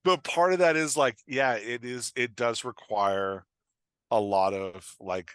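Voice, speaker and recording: A man talking casually as a podcast guest and writing coach, explaining in an informal, halting way with emphatic check-in questions. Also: mid-range voice, fairly bright tone, clean tone, dry recording, studio quality.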